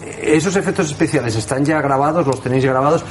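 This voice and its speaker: A man's voice: on the high side, fast talker, background noise. A man with an accent from Spain, chatting casually as a radio interviewer. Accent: Spanish, from Spain.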